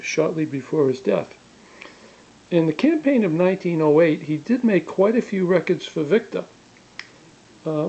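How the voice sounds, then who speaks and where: A man casually introducing an old record as a collector, speaking in a hesitant, halting way on a home recording. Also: fairly high pitch, warm tone, muffled recording.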